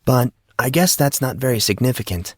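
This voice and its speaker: Tentative, earnest high school freshman voiced by a man for a fantasy audiobook, recorded dry. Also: lively intonation, fairly quick, slightly rough, no room sound. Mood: earnest, unsure. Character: 14-year-old boy, uncertain amnesiac high school freshman. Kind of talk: audiobook character dialogue.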